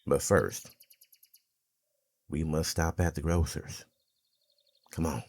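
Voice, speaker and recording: Man acting a gravelly, very deep older man. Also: darkish tone, no echo, full character voice. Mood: gruff, protective. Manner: slow, halting, folksy phrasing. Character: older small-town mountain man, haunted, gentlemanly, protective storyteller. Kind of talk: ASMR roleplay, slow intimate storytelling.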